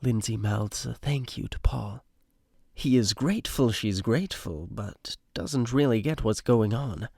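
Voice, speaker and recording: A man's voice: medium pitch, measured pace, gravelly, darkish tone, slightly rough, dry recording, studio quality. A man reading scripted stage directions as the narrator of an audio drama. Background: music.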